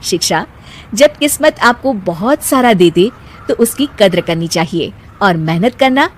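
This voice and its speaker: A woman narrating a cartoon moral story in a clear, even storytelling voice. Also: medium pitch, unhurried, slight background noise.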